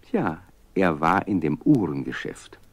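A man's voice: deep voice, big pitch swings, a little slow, slightly rough, dry recording. A man narrating a scripted children's story, talking to his young listeners as a storyteller. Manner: storyteller addressing young listeners with teasing questions.

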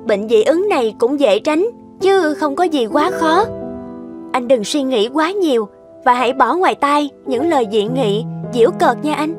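An actress playing a caring, worried middle-aged wife in a cartoon, with a gentle, soothing manner. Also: female voice, high-pitched, animated, measured pace, music in the background. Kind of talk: animated moral-tale cartoon dubbing.

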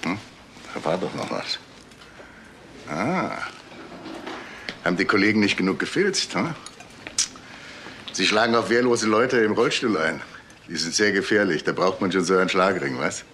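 A man's voice: deep voice, expressive, normal speed, pauses often, fairly bright tone, a little hiss or hum. A man playing a police officer in a crime radio drama, with dry, sardonic questioning.

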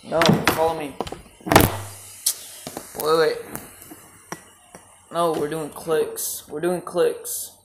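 A man's voice: on the high side, level tone, drawn-out words, pauses often, reverberant, a little hiss or hum. A teenage boy in casual voice chat while gaming, bossy and trash-talking. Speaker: gamer.

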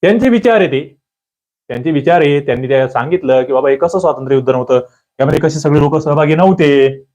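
A man teaching an exam prep lecture, quizzing listeners with lively rhetorical questions. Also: on the high side, quick pace. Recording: dull lo-fi audio.

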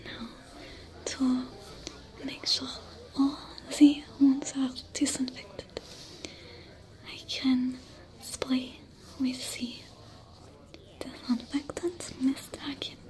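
A woman's voice: sits high, little pitch movement, rough recording. A woman playing a whispering French-accented alien smuggler. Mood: soothing whisper. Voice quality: soft breathy whisper. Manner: slow, soothing and caring. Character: gentle alien woman smuggler healing wounds. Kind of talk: whispered ASMR roleplay. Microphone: close to camera. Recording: whisper over loud music.

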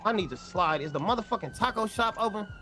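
A young man with a fairly high voice and very lively intonation speaks over clear noise. He talks in profane street slang, improvising as a gang character in a game. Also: fast talker. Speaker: roleplay gamer.

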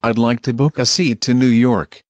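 A man reading English travel phrases one by one in a measured, plain way for learners. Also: medium pitch, steady tone, no room sound. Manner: measured, plain, each phrase read alone. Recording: dull, slightly muffled dry audio.

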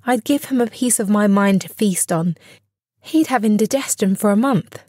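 Male actor as indignant poor housewife in audio drama: high, emphatic, scolding, devoted. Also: normal speed, a little mellow, dry recording, clean studio sound. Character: indignant, loving, sharp-tongued working-class wife and mother.

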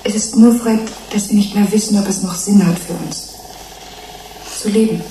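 Woman as a dark-voiced, sorrowful fish-human girl. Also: mid-range voice, fairly even intonation, moderate pace, natural voice. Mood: sad, pleading. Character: young female lab-bred fish-human hybrid, sad, resigned, gentle. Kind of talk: German radio drama acting. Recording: roomy echo, background noise.

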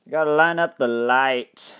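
A young man hosting a casual game commentary, thinking aloud and breaking into loud excited outbursts, his mouth dry and his voice getting tired. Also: mid-range voice, normal speed, smooth. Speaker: gaming channel host. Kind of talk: casual let's play commentary.

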